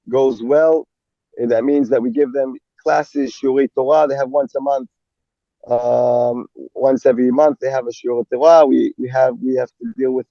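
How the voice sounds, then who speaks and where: Brief: young man, gravelly, halting with fillers, dull dry recording. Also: medium pitch, no echo. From Israel. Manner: halting, many fillers and restarts. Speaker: army rabbi. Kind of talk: interview guest.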